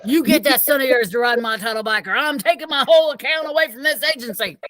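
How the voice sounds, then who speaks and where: A woman's voice: high voice, quick pace, fairly bright tone, no room sound, clean studio sound. A woman playing an impatient, bossy businessman in a children's storybook, with a demanding manner. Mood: angry. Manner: barking, demanding. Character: impatient, bossy male client, angry businessman.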